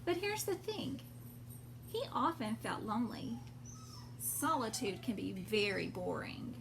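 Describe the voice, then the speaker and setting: A woman reading a storybook aloud expressively to young children, pausing to explain things simply. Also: on the high side, sing-song. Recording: clear background noise.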